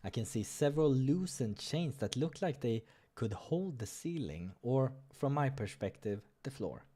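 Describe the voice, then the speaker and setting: A man's voice: mid-range voice, very animated, a little slow, mellow, slightly rough. Man narrating a visual novel playthrough. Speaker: let's player. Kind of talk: dramatic read-aloud narration with casual asides. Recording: music behind the voice.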